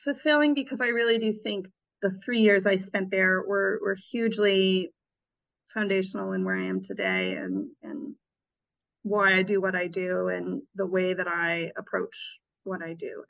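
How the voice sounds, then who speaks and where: A woman in her thirties answers interview questions in a relaxed, reflective way. She pauses and restarts often as she works through her memories. The recording sounds a little dull and lo-fi. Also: mid-range voice, vocal fry. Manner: reflective, with frequent restarts and fillers. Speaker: interview guest, former Peace Corps volunteer.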